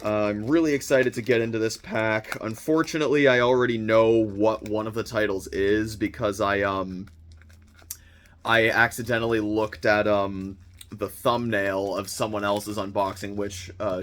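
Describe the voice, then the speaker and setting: A young man with a slightly hoarse voice, chatting casually and excitedly as he unboxes a monthly subscription package, rambling with lots of fillers and restarts. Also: fairly low pitch, normal speed, a little hiss or hum. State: slightly hoarse, recovering from illness. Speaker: vlogger unboxing a film subscription box.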